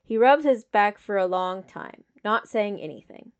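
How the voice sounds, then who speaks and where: Woman narrating fiction in measured tones. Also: mid-range voice, clean tone, dull audio. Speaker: audiobook reader of fan fiction. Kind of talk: scripted dramatic fiction narration.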